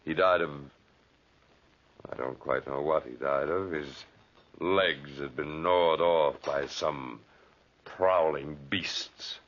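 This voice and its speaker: An actor in a 1940s radio drama playing a huge, ugly, bitter hermit who speaks with slow-burning, ominous menace. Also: male voice, rumbling low, fairly bright tone, full character voice. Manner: deliberate, ominous, theatrical delivery. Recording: dull, muffled 1940s radio recording.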